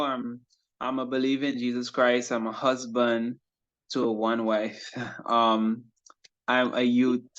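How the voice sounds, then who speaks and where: A young man from Guyana, talking through an interview as a guest in an earnest, thoughtful way with many pauses. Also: mid-range voice, fairly bright tone. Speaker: interview guest, youth pastor and rapper. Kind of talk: casual interview chat. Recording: dull lo-fi audio.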